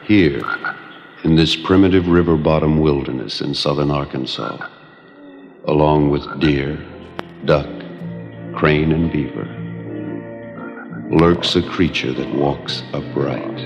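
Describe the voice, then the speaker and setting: Ominous creature-film narrator, extremely deep, unhurried, solemn, reverberant, music behind. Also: male voice, fairly even intonation, lo-fi sound, full character voice. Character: grave, ominous male narrator of a creature documentary. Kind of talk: slow dramatic film-trailer narration.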